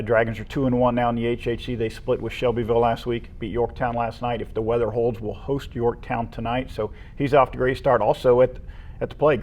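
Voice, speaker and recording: An American man co-hosting a local high school sports show, casually running through names and statistics. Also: a little mellow.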